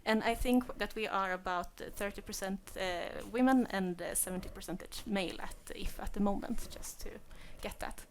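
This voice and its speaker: A woman's voice: medium pitch, moderate pace, few pauses, slight background noise. A woman moderating a panel discussion, asking questions with many hesitations and fillers.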